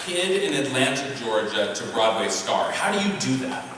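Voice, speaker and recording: A man's voice: mid-range voice, sing-song, normal speed. A man moderating a live convention panel, asking playful, joking questions of young performers. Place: large convention hall with audience. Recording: echoey hall, distant, loud crowd noise. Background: crowd and ambient noise.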